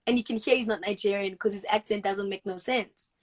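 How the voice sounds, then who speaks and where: A woman's voice: medium pitch, fairly even intonation, fairly quick. A woman from Africa, an actress and guest, talking earnestly and reflectively in a casual video-call interview, with frequent restarts.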